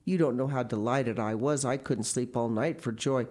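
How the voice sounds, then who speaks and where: A woman's voice: very deep voice, moderate pace, a little mellow. A woman voicing a dramatic, imaginative orphan girl of about eleven who speaks in gushing, theatrical outbursts. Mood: eager, wistful. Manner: gushing, theatrical, tearful pleading.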